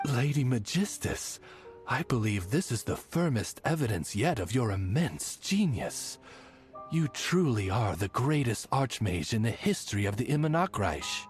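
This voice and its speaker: Game role: devoted male attendant, courtly and deferential, lively intonation, mid pitch. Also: measured pace, soft background music. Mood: reverent praise. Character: formal, devoted male retainer, flattering and courtly. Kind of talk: scripted game voice acting.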